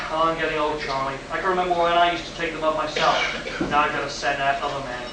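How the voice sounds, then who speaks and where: An actor in a live amateur radio play, playing a gruff, anxious, aging chief of flight control. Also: male voice, fairly high pitch, fairly even intonation, quick pace, natural voice. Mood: weary, guilty. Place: live stage at a convention. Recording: distant, echoey live hall recording with noise.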